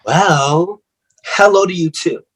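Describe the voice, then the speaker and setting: A man's voice: sits high, slow pace, fairly bright tone, slightly rough, exaggerated voice. A man in a comedic play reading, playing a cheeky young friend with a flippant, sarcastic delivery.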